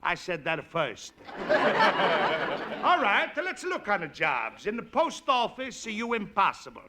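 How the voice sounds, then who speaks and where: An actor playing a brash, mocking Italian immigrant neighbor who speaks heavy broken English, in a 1940s radio sitcom. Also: male voice, high voice, wildly swooping pitch, slow, bright, forceful tone, full character voice. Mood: teasing. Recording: old radio broadcast with faint hiss.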